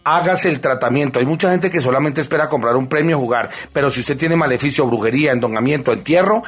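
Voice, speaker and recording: A man making an insistent radio ad pitch for his psychic readings, repeating his phone number again and again. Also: fast talker, husky.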